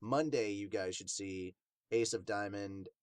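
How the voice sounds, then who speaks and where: A man's voice: fairly low pitch, unhurried, no room sound, studio quality. A man hosting a podcast, giving casual, rambling, slangy reaction commentary. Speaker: host of a manga review podcast.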